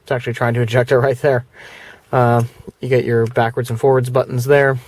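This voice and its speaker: A man's voice: medium pitch, little pitch movement, a little fast, darkish tone. Casual man showing off his car stereo. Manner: rambling, with fillers and restarts. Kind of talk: casual product walkthrough vlog. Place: inside a parked car; indoors before going to the car.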